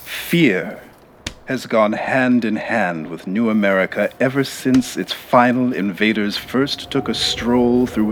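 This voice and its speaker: An actor playing the grandiose, ominous narrator of a satirical sci-fi serial, speaking in a dramatic, deliberate way. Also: male voice, on the low side, a little hiss or hum. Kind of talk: theatrical scripted narration.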